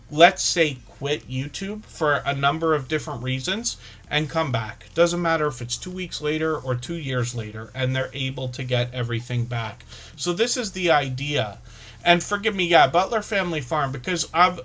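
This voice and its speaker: A man hosting a casual livestream, his voice sitting at an ordinary middle pitch. He talks in long, rambling stretches, peppered with "um" and "you know," often stopping mid-word to rephrase. His tone stays earnest and explanatory throughout. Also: fairly bright tone. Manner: rambling, filler-heavy, restarts and self-corrections. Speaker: host of a small-creator support livestream.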